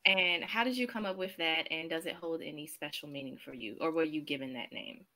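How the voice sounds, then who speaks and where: A woman's voice: low voice, brisk, crowd noise. A woman hosting a casual interview, asking questions in a chatty, upbeat way with frequent "um"s. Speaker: interview host.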